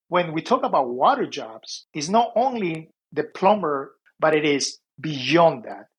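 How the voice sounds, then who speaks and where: Husky-voiced man. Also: on the high side, poor audio. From Peru. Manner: earnest, explains with lists and restarts. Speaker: guest. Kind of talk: casual interview talk.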